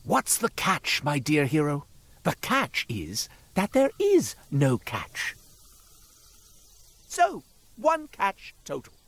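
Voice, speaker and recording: A flamboyant, devilish peddler from a video game, grandiose and wheedling. His delivery is theatrical and florid, leaping wildly in pitch at a leisurely pace. The sound is dry and close, with the polish of a salesman's patter. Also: wildly swooping pitch, slow, pauses often, fairly bright tone, no room sound, heavily acted. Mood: sly, showy. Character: flamboyant devilish roadside curio merchant, male, wheedling and grandiose. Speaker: merchant.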